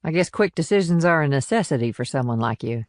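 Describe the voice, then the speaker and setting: A man's voice: fairly high pitch, lively intonation, quick pace, dry recording, clean studio sound, natural voice. A man voicing a plain-spoken, wry and weary small-town policewoman in an audiobook, talking in clipped, folksy sentences. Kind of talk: audiobook character dialogue.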